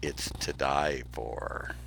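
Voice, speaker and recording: A man's voice: a bass voice, steady tone, drawn-out words, vocal fry, fairly bright tone, a little hiss or hum, voice pushed down, exaggerated voice. A man voicing a scheming, menacing male lion villain with a commanding manner in a children's storybook reading. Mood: sly, scornful. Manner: sinister, commanding. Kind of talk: dramatic children's storybook read-aloud.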